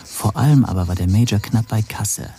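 An actor playing a wry, scheming amateur sleuth who narrates his own story in a measured, literary style. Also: on the low side, dark tone, husky. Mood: plotting, wry.

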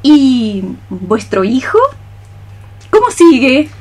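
A woman's voice: sits high, sing-song, extremely slow, a little mellow, exaggerated voice. A woman playing a melancholy, dreamy cockroach mystic in radio theatre, sighing her lines as solemn lyrical verse. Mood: wistful.

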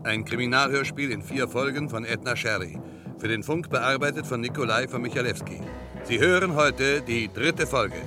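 A man's voice: mid-range voice, moderate pace, over music. A man narrating a 1960s radio play recap in a formal, precise, scripted manner.

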